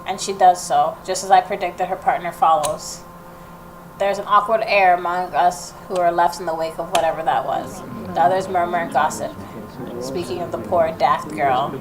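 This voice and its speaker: A woman with a fairly low voice hosts a game playthrough. She reads the narration aloud and reacts expressively as she goes, with faint noise behind her. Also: steady tone, moderate pace, poor audio. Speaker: gaming video host. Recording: noticeable background noise.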